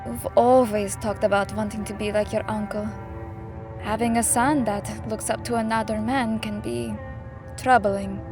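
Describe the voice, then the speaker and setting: A woman's voice: medium pitch, steady tone, unhurried, music in the background, natural voice. A woman playing an anxious, protective middle-aged mother with earnest, emotional delivery in an audio drama. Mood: gentle, reflective.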